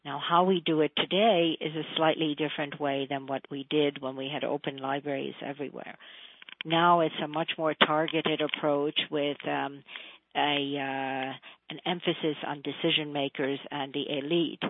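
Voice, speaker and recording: A middle-aged woman from Hungary, a Foreign Service officer, explaining her work earnestly and in measured phrases as a radio interview guest. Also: low voice, fairly even intonation. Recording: tinny phone line.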